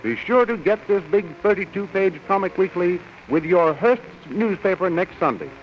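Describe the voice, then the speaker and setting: A man's voice: high voice, lively intonation, moderate pace, dull audio, slight background noise. An American man delivering a promotional announcement in theatrical, enthusiastic 1930s radio style on a vintage recording.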